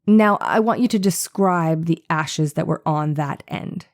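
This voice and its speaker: A woman's voice: deep voice, a little slow. A woman playing a persistent, skeptical male defense attorney in a dramatized courtroom reading, with probing, pointed questions. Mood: skeptical, insistent.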